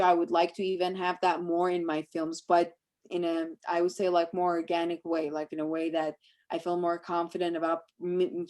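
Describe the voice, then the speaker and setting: A woman's voice: on the low side, a little mellow. A woman from Iran, a native Persian speaker, talking reflectively and conversationally about her work as a guest speaker, searching for her phrasing as she goes.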